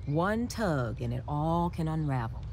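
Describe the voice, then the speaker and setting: An actress playing a sensible, skeptical but caring science teacher in a video game, speaking in a measured, matter-of-fact way. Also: female voice, deep voice, animated, darkish tone, slight background noise. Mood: wry, thoughtful. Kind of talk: video game dialogue acting.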